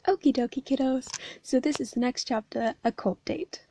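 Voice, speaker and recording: A young woman, hoarse from hay fever, reading a story aloud and chatting casually between chapters. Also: high voice, normal speed, dark tone, no echo. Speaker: fan fiction reader.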